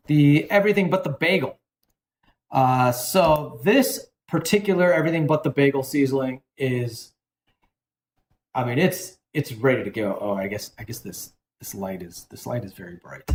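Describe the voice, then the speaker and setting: A man's voice: medium pitch, a little hiss or hum. Chatty man in an echoey kitchen. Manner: chatty, rambling, self-correcting. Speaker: cooking show host. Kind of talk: casual cooking demonstration.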